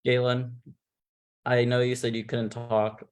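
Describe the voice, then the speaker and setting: A man hosting an online cast reveal, talking in a rambling, relaxed way with plenty of fillers. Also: medium pitch, measured pace, clean tone. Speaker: host revealing a game cast.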